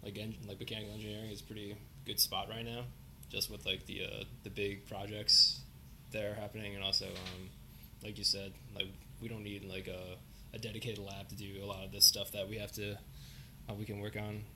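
A man's voice: fairly low pitch, little pitch movement, fairly quick, creaky, pushed and bright, slight background noise, low-quality recording. Young man host, lots of "like". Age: young adult. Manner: filler-heavy, uses "like" often. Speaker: podcast host, student. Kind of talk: casual interview.

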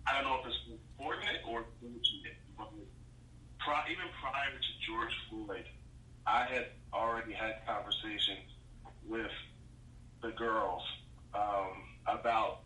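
A man's voice: lowish voice, fairly even intonation, a little slow, pushed and bright, a little hiss or hum. A man being interviewed as a parent, speaking reflectively and hesitantly. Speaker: guest parent. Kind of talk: casual interview answer. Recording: distant and echoey mic.

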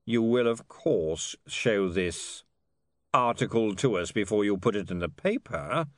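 A man performs a blustering lord for an audiobook. He sounds pompous and baffled, repeats himself testily and swings about in pitch, recorded close and dry. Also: lively intonation, a little slow, no room sound. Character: baffled, testy, blustering lord, easily swayed.

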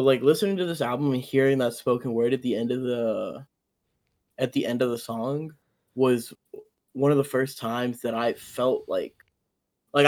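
A man's voice: medium pitch, darkish tone. A young man from Ethiopia in a casual podcast chat, speaking earnestly and a little hesitantly. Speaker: podcast co-host.

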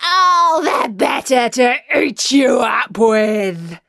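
Storybook wolf played by a woman: sly, high and swooping, coaxing with menace, posing as sweet granny. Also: sing-song, extremely slow, creaky, a bit bright, no room sound, studio quality, full character voice. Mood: menacing. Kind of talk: children's storybook character voice.

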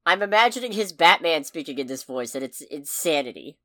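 A woman's voice: sits low, a little fast, no echo, studio quality. A woman co-hosting a podcast, chatting casually about a film with wry, profane humor. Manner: wry, profane, lively storyteller. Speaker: podcast co-host. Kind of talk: casual movie review chat.

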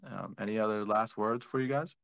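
A man's voice: fairly low pitch, fairly quick, gravelly, a bit bright, dull audio. A man hosting a casual online audio chat, upbeat and chatty, with plenty of fillers and restarts. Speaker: host.

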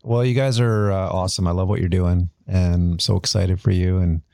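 A male podcast host with a low, gravelly, dark voice asks questions in an easygoing way and laughs often. The sound is dry and close. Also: steady tone, fairly quick, no room sound. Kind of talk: casual interview.

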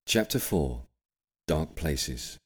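Very deep-voiced male audiobook narrator. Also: expressive, drawn-out words, breathy edge. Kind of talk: scripted story narration.

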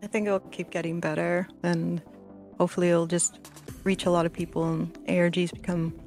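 A woman's voice: fairly low pitch, level tone, brisk, vocal fry. A woman chatting casually as a panelist on a podcast, in loose conversational phrases. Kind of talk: casual chat. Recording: faint music underneath. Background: soft background music.

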